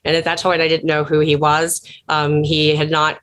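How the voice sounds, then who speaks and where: Female event host, lowish register, effusive and encouraging, speaking informally on a video call. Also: completely flat delivery, normal speed, a bit bright, slight background noise. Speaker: organization leader hosting an online event. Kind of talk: informal thank-you remarks. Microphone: online video call.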